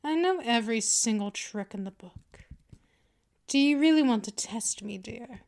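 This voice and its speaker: A woman's voice: a little high, animated, moderate pace, creaky, a little mellow. A woman playing a dominant, patient vampire mistress in a scripted ASMR roleplay, speaking in a condescending, teasing purr straight to the listener. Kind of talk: scripted ASMR roleplay, intimate second-person address.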